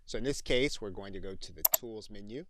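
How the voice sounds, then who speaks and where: A man narrating a software tutorial as an instructor, in an explanatory, instructional manner. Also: fairly low pitch, brisk, noisy background.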